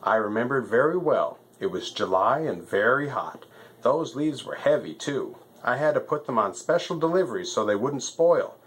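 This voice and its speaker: A man's voice: mid-range voice, lively intonation, moderate pace, pitched above the performer's own voice, lightly acted. A man voicing a busy, boastful male stork postmaster who rambles fussily in a storybook reading. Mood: self-important, chatty. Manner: fussy, rambling, reciting rhyming lists.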